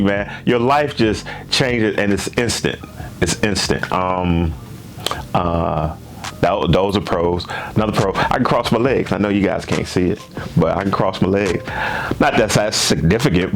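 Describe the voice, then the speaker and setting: A man in his fifties chatting casually to his viewers, repeating himself and tagging lines with questions. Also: deep voice. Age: 50s. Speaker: gaming channel host. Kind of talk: casual chat to camera.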